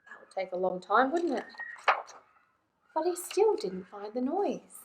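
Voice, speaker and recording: Unhurried woman reading a children's story. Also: sits high, a little hiss or hum, poor audio. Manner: expressive, asks young listeners questions. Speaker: children's librarian and storytime presenter. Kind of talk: children's picture book read-aloud.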